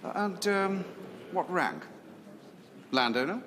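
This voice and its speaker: An actor playing a haughty, sceptical count in a period drama, with a condescending, probing manner. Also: male voice, high voice, expressive, takes it slowly, a crowd in the background. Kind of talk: naturalistic television period drama acting.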